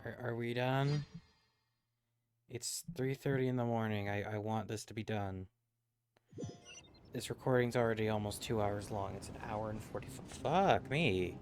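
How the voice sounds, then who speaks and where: A man's voice: on the low side, moderate pace, long pauses, noisy background. A man giving casual live-stream game commentary, grumbling and swearing. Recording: game music behind the voice.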